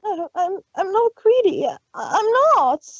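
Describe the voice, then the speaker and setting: High, swooping fashion mare, stammering, a woman acting. Dry, muffled. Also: big pitch swings, measured pace, no echo, heavily acted. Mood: pleading. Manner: stammering, tearful pleading. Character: dramatic young fashion unicorn mare.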